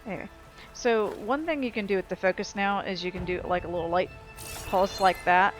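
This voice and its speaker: A woman's voice: medium pitch, brisk, low-quality recording. Casual woman gamer commentating over game music. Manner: informal, rambling explanations. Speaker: game streamer. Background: game music and sound effects.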